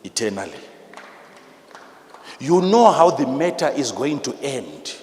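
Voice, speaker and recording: A man with a Zimbabwean accent preaching a sermon in an emphatic, repetitive, teacherly manner, swinging between hushed and loud delivery. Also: mid-range voice, very animated, unhurried. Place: large church hall with PA. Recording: live in a large reverberant hall, noisy.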